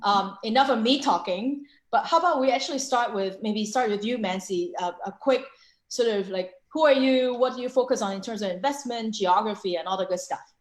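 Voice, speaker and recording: A woman's voice: fairly high pitch, fairly quick. A woman hosting a casual online panel over a video call, chatty and full of fillers. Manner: filler-heavy, chatty, frequent restarts. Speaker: webinar host and co-founder. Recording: echoey room.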